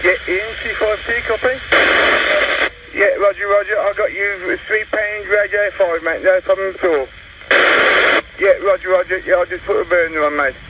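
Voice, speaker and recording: A man's voice: sits high, fairly even intonation, moderate pace, muffled recording. A man making casual operator chat over CB radio. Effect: heard over CB radio. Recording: very noisy CB radio transmission.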